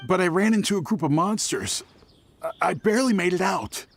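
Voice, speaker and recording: A man's voice: high-pitched, animated, fairly quick, gravelly, soft background music. A man voicing a wounded bandit who poses as a hunter in a fantasy video game, pleading and stammering. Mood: confessing, grateful. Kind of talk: scripted fantasy game dialogue.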